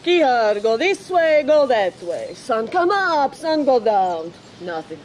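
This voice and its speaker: A woman's voice: on the high side, big pitch swings, slow pace, slight background noise. An actress playing a brash but good-hearted female seabird who speaks in clipped, broken phrases in a radio drama. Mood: reporting, weary. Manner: clipped pidgin phrasing with foreign-sounding grammar.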